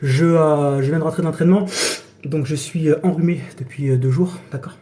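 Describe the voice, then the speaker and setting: A man with a cold and a hoarse voice, a coach chatting casually on a phone live stream and tossing in "d'accord" and "frère". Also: fairly high pitch, fairly even intonation, a little fast, dark tone, slight background noise. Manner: chatty, repeats himself, many "d'accord" and "frère". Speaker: fitness and nutrition coach. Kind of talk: casual TikTok live chat. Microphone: phone during a live stream.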